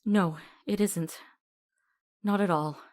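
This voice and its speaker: A woman's voice: mid-range voice, steady tone, takes it slowly, background noise, close to an everyday voice. A woman playing a compassionate, earnest and stubborn companion to a monster hunter in a visual novel. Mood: tender, reassuring.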